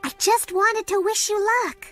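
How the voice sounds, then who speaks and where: A performer voicing a gentle, curious young female cat in an animated cartoon, earnest and soft-hearted. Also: very high-pitched, moderate pace. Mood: confiding. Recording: prominent music under the dialogue.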